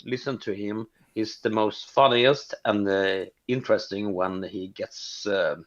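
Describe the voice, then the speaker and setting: A man from Sweden whose first language is Finnish, calling in to a show, speaking haltingly and apologetically with many fillers. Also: fairly low pitch, steady tone, a bit bright, lo-fi sound. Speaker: caller. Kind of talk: casual phone call-in.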